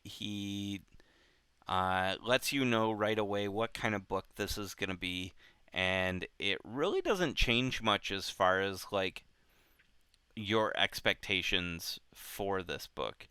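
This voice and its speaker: A man hosting a book club show, talking casually and unscripted in a halting way, often repeating himself. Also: lowish voice, pushed and bright, clean tone, dry recording.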